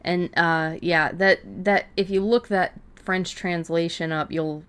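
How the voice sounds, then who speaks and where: A woman's voice: lowish voice, steady tone, unhurried, clear, resonant tone. A woman co-hosting a podcast, talking in an informal, loosely rambling way. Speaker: podcast co-host and fiction writer. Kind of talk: casual podcast chat.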